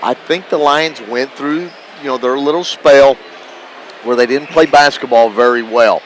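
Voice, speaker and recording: A man with a medium-pitched voice chats as the analyst on a live basketball radio show. He is plain-spoken and folksy, and faint gym ambience sits behind him. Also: measured pace, a little hiss or hum, low-quality recording. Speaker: radio broadcast partner, analyst. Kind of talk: live sports commentary chat. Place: corner perch in a school gymnasium. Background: gym ambience, bouncing basketballs.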